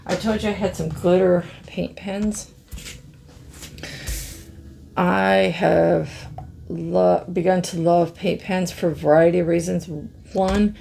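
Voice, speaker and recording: Hoarse middle-aged woman reviewing craft pens, super slow, lots of vocal fry, bit of low hiss behind. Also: warm tone. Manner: slow, musing, easily amazed, talks to viewers. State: hoarse, scratchy throat. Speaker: craft channel host. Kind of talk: casual product review chat.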